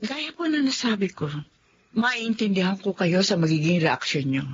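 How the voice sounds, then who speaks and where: A woman playing a calm, polite widowed principal. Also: medium pitch, moderate pace, dull audio, slight background noise, close to an everyday voice. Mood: earnest. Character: widowed woman principal, humble, devoted lover. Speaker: school principal. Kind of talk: radio drama acting.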